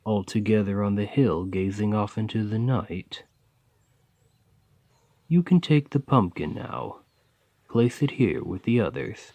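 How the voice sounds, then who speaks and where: A man playing a kind, patient gravedigger in a soft, soothing, encouraging ASMR roleplay. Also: lowish voice, expressive, unhurried, pauses often, no echo. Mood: fond, playful. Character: kind, gentle gravedigger, patient and playfully fond. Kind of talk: ASMR roleplay, scripted comforting monologue.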